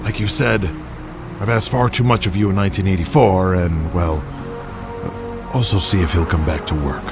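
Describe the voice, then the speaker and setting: Man acting pompous, low-voiced wrestling empire tyrant. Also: steady tone, normal speed, music in the background. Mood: grudging. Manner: pompous, menacing, speechifying. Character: ruthless, gloating male wrestling empire boss. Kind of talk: audio drama acting.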